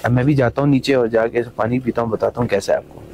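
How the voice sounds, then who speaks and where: A man's voice: medium pitch, fairly even intonation, fast. Male travel vlogger, enthusiastic and chatty, recorded outdoors on a mountain trail with faint background noise. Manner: chatty, enthusiastic, addresses viewers as guys. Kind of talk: casual travel vlog narration.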